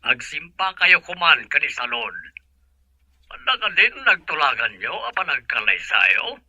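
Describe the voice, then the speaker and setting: A man's voice: a little high, sing-song, measured pace, pushed and bright, breathy edge, no room sound, heavily acted. A man with an Ilocano accent playing an insistent businessman father on the telephone in a radio drama. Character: busy businessman father pressing his son. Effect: telephone filter. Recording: muffled, dry telephone sound.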